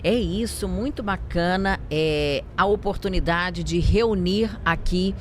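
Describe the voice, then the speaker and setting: A woman's voice: on the low side, takes it slowly. Brazilian woman hosting, faint hall noise. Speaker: podcast host. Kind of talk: podcast interview, conversational. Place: convention centre trade fair.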